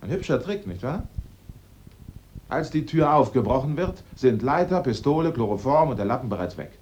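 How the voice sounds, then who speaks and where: A man acting a sharp-witted amateur sleuth in a German radio drama, theatrical and assertive as he sets out his case step by step. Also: medium pitch, lively intonation, moderate pace, highs cut off, a little hiss or hum, lightly acted. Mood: showman-like.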